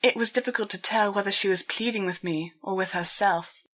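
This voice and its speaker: A woman's voice: mid-range voice, brisk, fairly bright tone. A woman narrating a dramatic first-person story as an audiobook reader.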